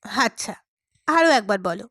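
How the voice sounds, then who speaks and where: A woman voicing a reserved young man in an audiobook, quietly resistant, with brief questioning replies. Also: mid-range voice, lively intonation, measured pace, no room sound, clean studio sound, voice pushed down. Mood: restrained impatience.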